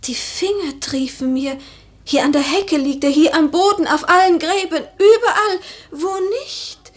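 A woman's voice: sits high, slightly rough, full character voice. A woman playing a haunted noblewoman in a poetic radio play, dreamy, overwrought and declamatory. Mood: hushed, haunted. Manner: declamatory, breathless whispers rising to agitation. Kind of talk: poetic theatrical radio monologue.

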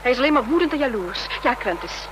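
A woman's voice: on the high side, lively intonation, fast talker, natural voice. An actress playing an indignant, urgent woman in a radio drama, protesting and reproachful toward the men. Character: indignant woman, sweetheart caught between two men. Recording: hissy, humming old radio drama recording.

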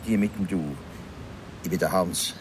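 A man's voice: low voice, fairly even intonation, normal speed, natural voice. Actor doing a chatty swiss german charmer guy, flirty, bit of a nature lecturer, radio play, slight noise behind. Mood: flirtatious.

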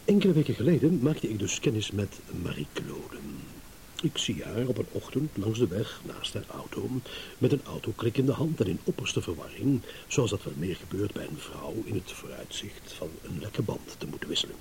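An actor playing a smug, shrewd, eloquent gentleman in a radio drama, with mock courtesy. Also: fairly low pitch, big pitch swings, normal speed, creaky. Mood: measured storytelling. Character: smug, shrewd gentleman savouring a battle of wits. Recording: hissy old radio play recording.

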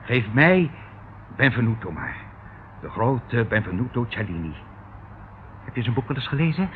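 A man's voice: medium pitch, very animated, normal speed, phone-quality sound, close to an everyday voice. A thin, hissy radio-play recording carries a male actor as a middle-aged uncle, pompous and falsely doting. His voice swoops theatrically as he rambles and patronises, slippery and evasive throughout. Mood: unctuous, evasive. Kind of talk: Dutch radio play acting.

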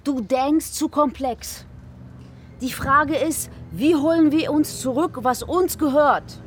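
A woman's voice: high voice, slow, soft background music. An actress playing a practical, determined woman activist in a radio play. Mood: pragmatic. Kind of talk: German radio play acting.

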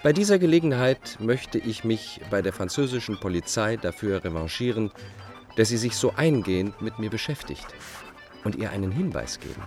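A man's voice: lowish voice, very animated, moderate pace, darkish tone, soft background music. An actor playing a bitter, self-justifying Dutch fugitive in a radio drama, setting out his letters in a formal, pedantic way. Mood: coolly formal, mocking. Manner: formal, pedantic, enumerating point by point. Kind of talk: radio drama monologue, letters read aloud.

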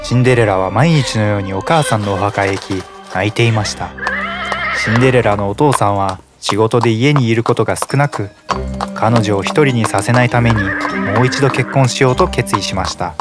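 A man narrating a fairy tale in a calm, even storytelling manner. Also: fairly low pitch, moderate pace. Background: music.

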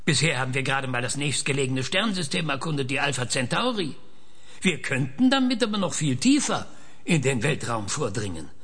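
An actor playing an elder statesman in a radio drama debate, husky and persuasive, making declarative appeals. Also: medium pitch, sing-song, fairly quick, a bit bright. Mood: urging, earnest.

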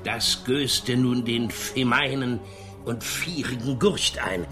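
An actor playing a bumbling storyteller in a comic radio play, deliberate and clumsy with words. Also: male voice, mid-range voice, close to an everyday voice. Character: bumbling male storyteller garbling his words. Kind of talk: scripted comic radio narration. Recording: music under the voice.

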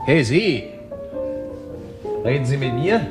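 A man's voice: medium pitch, expressive, slow pace. A man playing an insolent, menacing gangster heavy in a 1960s German radio crime drama, brusque and threatening. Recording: 1960s radio play, bar music behind.

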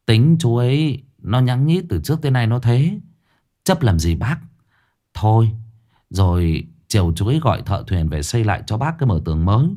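A man's voice: medium pitch, big pitch swings, normal speed, dark tone, natural voice. A man with a Northern Vietnamese accent voicing a young village lad who speaks soothingly and coaxes an older man. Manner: soothing, coaxing.

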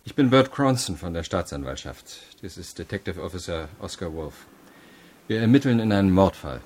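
An actor playing a methodical prosecutor's investigator, formal and measured in his questioning, in a radio crime drama. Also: sits low, animated, darkish tone. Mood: formal, probing.

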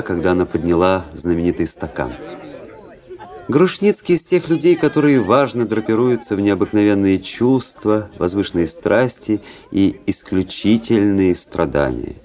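A man's voice: low-pitched, darkish tone. An actor playing a brooding, world-weary officer who tells his own story introspectively in a literary radio drama. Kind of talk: literary first-person narration in a radio play.

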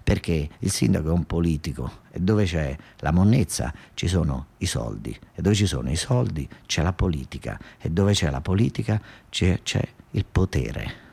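A man's voice: low voice, expressive, normal speed, creaky, dark tone, breathy edge. A man with a southern Italian accent performing a theatrical narrative monologue in a colloquial, emphatic, repetitive way. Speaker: actor-storyteller.

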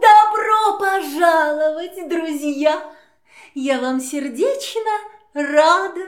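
A woman's voice: very high-pitched, very slow, roomy sound, exaggerated voice. An actress playing a rich, haughty cat lady in a theatrical children's audio play. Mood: gushing.

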